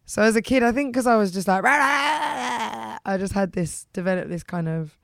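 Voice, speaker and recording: A woman singer with a husky, rough voice, hoarse today, chatting casually in an interview and saying "like" a lot. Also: fairly high pitch, lively intonation, fairly bright tone, dry recording, clean studio sound. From England. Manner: chatty, repeats "like", quick restarts. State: hoarse from heavy use. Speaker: singer, guest.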